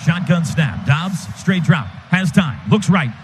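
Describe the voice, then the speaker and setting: A man doing excited radio play-by-play of a football game in clipped, rapid-fire calls. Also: a little high. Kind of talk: live football commentary. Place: stadium radio booth. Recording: noisy stadium broadcast booth.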